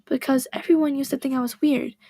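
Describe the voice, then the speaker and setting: A woman's voice: high-pitched, steady tone, a little fast, dark tone, no echo, natural voice. A woman doing a shy, anxious teen girl. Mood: ashamed. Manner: hesitant, self-critical. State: slightly hoarse.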